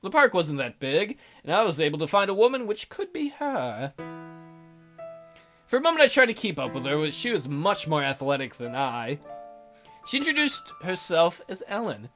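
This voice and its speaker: A woman's voice: on the low side, very animated, moderate pace. A woman let's play host reading visual novel narration aloud with irreverent, joking asides. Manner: irreverent, jokey. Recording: music behind the voice.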